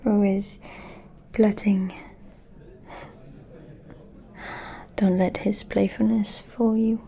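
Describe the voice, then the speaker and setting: A woman playing a scheming, seductive aristocratic vampire in an ASMR roleplay, confiding in a soft breathy whisper with insinuating pauses. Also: mid-range voice. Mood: warning, sly. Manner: slow, deliberate, insinuating with pauses.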